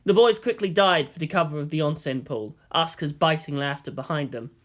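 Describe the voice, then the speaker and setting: A man reading fan fiction as an expressive scripted narration. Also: on the high side, brisk.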